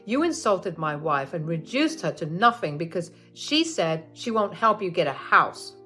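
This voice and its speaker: A woman's voice: on the low side, very animated, moderate pace, pushed and bright, faint music behind. A woman voicing an angry young husband scolding his mother, firm and indignant.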